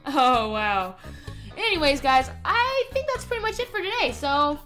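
A woman's voice: high-pitched, sing-song, a little slow, bright, forceful tone, faint music behind. A woman hosting a gaming video with playful, giggly and enthusiastic commentary. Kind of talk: playful game commentary and roleplay.

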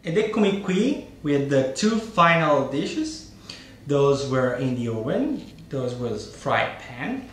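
Slow-talking Sicilian-accented man, echoey room. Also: medium pitch, very animated, a little hiss or hum. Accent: Sicilian Italian. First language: Italian. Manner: unhurried, hesitant with many fillers, relishing tastes. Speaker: cooking show host. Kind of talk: casual cooking demonstration. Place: home dining room, indoors.

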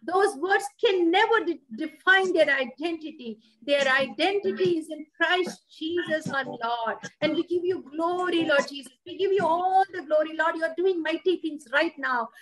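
A woman with a high voice prays aloud over a gathered crowd, pleading in repeated phrases. Her lines rise with urgency and then fall away into quiet, low refrains. The prayer is spontaneous and fervent. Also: moderate pace, few pauses, poor audio. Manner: repetitive, ends phrases with soft low 'Lord Jesus'. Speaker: prayer minister.

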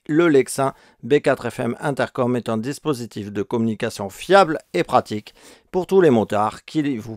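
Male narrator for scripted product review voice-over, mid-range pitch, very dry close sound. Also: darkish tone, dry recording.

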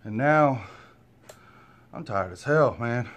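A man's voice: mid-range voice, animated, takes it slowly, a bit bright, lo-fi sound. An American man in his thirties chatting casually to his own camera in a blunt, colloquial, grumbling way. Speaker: vlogger. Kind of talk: casual vlog chat. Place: home gym, kitchen, then moving car. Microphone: handheld phone or camera at arm's length.

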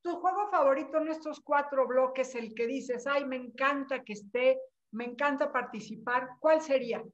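A middle-aged woman hosts the interview over a video call, friendly and teasing as she asks questions. Her voice is clearly high, and she fills pauses with quick short replies. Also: muffled recording. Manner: friendly, teasing, frequent backchannel. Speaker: interviewer, host. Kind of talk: casual interview. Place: home room with bookshelves.

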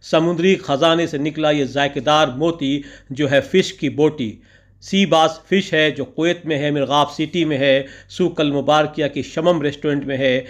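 Male vlog narrator, slightly raised pitch, ornate poetic delivery. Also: fairly even intonation, normal speed. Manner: flowery Urdu-laced phrasing with couplets and praise. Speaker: vlogger narrating a food outing.

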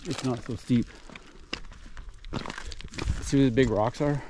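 A man's voice: mid-range voice, steady tone, slow pace. A man hiking and vlogging outdoors on a rocky hillside, casually thinking aloud about the route. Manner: easygoing, thinking aloud, calling to his dog. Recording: noisy outdoor wind and ambience.

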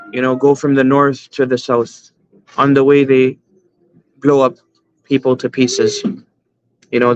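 A man in his thirties speaks over a video call from a room at home, earnest and measured, pausing with fillers between phrases. His voice stays flat in pitch. The audio is dull and lo-fi. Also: clean tone. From Canada. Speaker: imam, guest. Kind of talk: interview answers.

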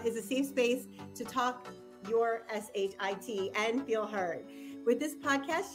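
A woman hosting a podcast, bubbly and enthusiastic, laughing easily as she introduces and chats with her guest. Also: on the high side. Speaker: podcast host. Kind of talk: casual podcast interview.

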